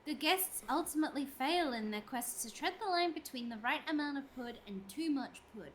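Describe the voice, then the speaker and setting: High-voiced young woman, giggly. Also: lively intonation, slight background noise. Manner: giggly, halting storytelling. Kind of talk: casual chat among friends. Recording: music behind the voice. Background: music.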